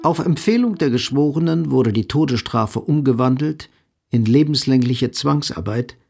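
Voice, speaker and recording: A man giving measured, sober narration of a literary radio drama. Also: medium pitch, expressive, vocal fry, darkish tone, husky, soft background music.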